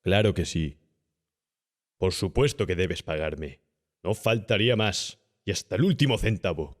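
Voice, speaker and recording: A man voicing a cynical, calm, domineering young gentleman body snatcher who talks persuasively and condescendingly in an audiobook horror story. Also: low voice, unhurried. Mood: brusque, calculating. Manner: smooth-talking, persuasive, condescending.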